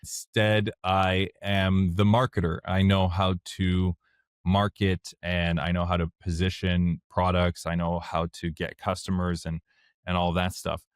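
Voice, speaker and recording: A deep-voiced man, a French speaker by birth, talks openly about his own past in a casual interview. His voice sits low and sounds close and dry, with no room around it. He speaks frankly and works his thoughts out as he goes. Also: level tone, a little slow, clear, resonant tone, dry recording. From Canada (Montreal). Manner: candid, plainspoken, thinks aloud as he talks. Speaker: guest, software founder.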